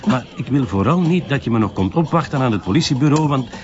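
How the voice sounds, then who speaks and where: A man's voice: medium pitch, lively intonation, quick pace, lightly acted. An actor playing a reserved, embarrassed middle-aged policeman in a TV drama, with a Flemish accent. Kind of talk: naturalistic TV drama dialogue. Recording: dull sound, loud music behind. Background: music.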